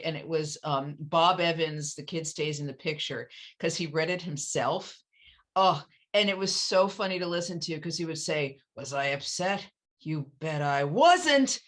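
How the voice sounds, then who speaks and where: A woman interviewing an author in casual conversation, talking quickly and joking at her own expense. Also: low-pitched. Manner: quick, self-deprecating, with laughs.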